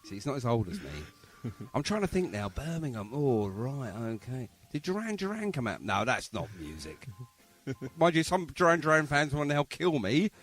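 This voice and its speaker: London bloke hosting a podcast, jokey rambling banter, voice swings up and down loads. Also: male voice, medium pitch, very animated, moderate pace, fairly bright tone, soft background music. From London, England. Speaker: podcast host. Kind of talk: casual jokey interview chat.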